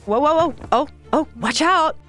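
An actress playing a tough, mocking head of security in a fantasy audio drama, with a sarcastic, taunting delivery. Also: female voice, sits high, expressive, moderate pace, fairly bright tone, faint music behind.

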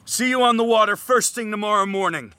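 A man's voice: sits high, a little fast, sharp tone, dry recording. An actor playing a domineering young male rowing captain in an audio drama, speaking in a commanding, blunt manner. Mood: demanding, scornful.

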